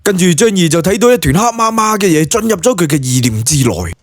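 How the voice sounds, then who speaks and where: A male audiobook narrator with a Cantonese accent and a husky edge to his voice. He tells the story colloquially and dramatically, with lively swings of pitch. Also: fast talker, dry recording, clean studio sound, faint music behind.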